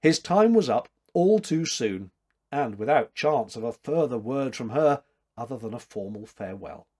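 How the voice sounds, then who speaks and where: A man narrating a story aloud in an expressive, scripted reading style. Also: mid-range voice, moderate pace.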